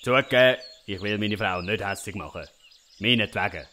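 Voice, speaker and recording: A man's voice: lowish voice, normal speed, pushed and bright. A man playing a blunt, impatient, henpecked father with a Swiss German accent in a comic audio drama. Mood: matter-of-fact. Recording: faint birdsong and outdoor noise.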